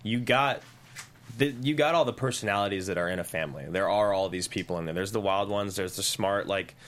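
A man's voice: sits low, a little fast, fairly bright tone. A man talking casually as a panelist in a group discussion. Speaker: table-read panelist.

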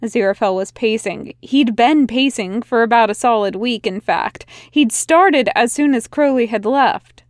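A woman reading a fan fiction story aloud in a soft, unhurried narration. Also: on the high side, dry recording, studio quality. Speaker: podfic reader.

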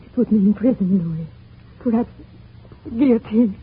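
An actress playing a scientist's devoted, anxious wife, earnest and dramatic in a 1940s radio play. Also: female voice, mid-range voice, unhurried, husky. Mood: fearful. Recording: dull old radio recording, faint hiss.

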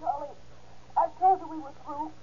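A woman's voice: sits high, steady tone, moderate pace, mellow, lightly acted. An actress playing a fed-up young woman, emphatic and exasperated, in old-time radio drama style. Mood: firm, exasperated. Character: fed-up, firm young woman refusing her boyfriend. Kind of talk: 1930s-40s radio drama acting. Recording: muffled, noisy old-time radio recording.

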